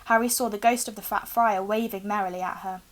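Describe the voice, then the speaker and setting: A young woman reads a storybook aloud in an expressive narrating style. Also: mid-range voice, brisk. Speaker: reader, teacher. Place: small room indoors with bookshelves.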